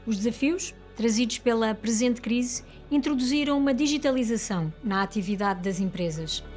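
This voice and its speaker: Woman, European Portuguese accent, high-ish voice, scripted corporate narration, background music. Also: normal speed, a little mellow.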